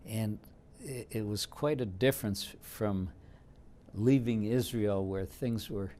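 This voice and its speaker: A man in his seventies from Detroit, whose first language was Yiddish, recalling his past in an unhurried oral history interview with frequent fillers. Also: on the low side, dark tone, a little hiss or hum. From Detroit, United States. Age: 70s.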